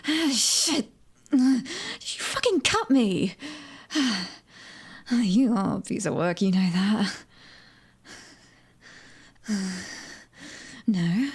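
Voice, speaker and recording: A British woman voicing a cocky, fiery mercenary in an ASMR roleplay, teasing and breathy, often dropping close to a whisper. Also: mid-range voice, a little mellow. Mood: irritated, scornful. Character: fiery, cocky young female mercenary, prickly and taunting. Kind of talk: ASMR audio roleplay acting.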